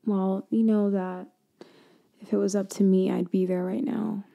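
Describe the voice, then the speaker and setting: A woman doing a girlfriend roleplay for ASMR, speaking softly and intimately, slipping in and out of a whisper and often trailing off mid-thought. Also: flat delivery, takes it slowly, creaky, phone-quality sound. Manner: soft, intimate, drifting between low voice and whisper; hesitant, trailing off and restarting.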